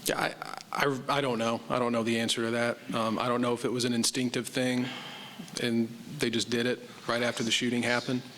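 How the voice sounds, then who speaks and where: A man, a police captain, answering a review board's questions in a measured, explanatory way at a public meeting. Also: mid-range voice, flat delivery, fairly bright tone, long reverb tail, a little hiss or hum. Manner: measured, explanatory, admits uncertainty. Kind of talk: formal public meeting testimony. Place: city council chamber.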